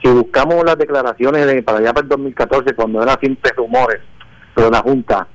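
Medium-pitched male talk radio caller. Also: steady tone, a little fast, low-quality recording. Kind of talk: spontaneous political opinion.

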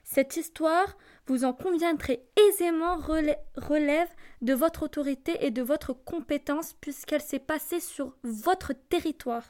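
Young woman as a fussy high-pitched mother. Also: a little slow, few pauses, darkish tone. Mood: haughty, formal. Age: teenager or young adult student. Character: fussy, formal, haughty mother writing to teacher. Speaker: amateur student actor. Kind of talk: theatrical reading of parents' letters.

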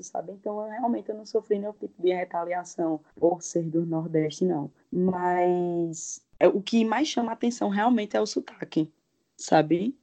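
A woman's voice: fairly low pitch, low-quality recording. A woman from Pernambuco with a strong Pernambuco accent, chatting casually as an interview guest and telling anecdotes. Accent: Pernambuco Brazilian Portuguese. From Pernambuco, Brazil. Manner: relaxed storytelling with quoted dialogue.

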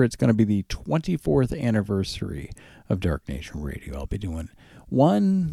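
A man presents a music radio show in a casual, chatty style, his pitch moving freely up and down. The voice is dark and relaxed with a gravelly fry, picked up close on a dry microphone. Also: no echo.

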